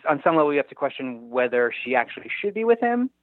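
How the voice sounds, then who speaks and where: A man's voice: mid-range voice, sing-song, dry recording. Hesitant man of forty, dull lo-fi sound. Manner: hesitant, halting. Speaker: screenwriter getting script feedback. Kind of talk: casual workshop discussion.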